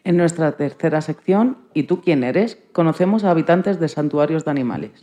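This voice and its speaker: A woman's voice: deep voice, animated, normal speed, dark tone. A woman co-hosting a radio show, giving a friendly, prepared interview.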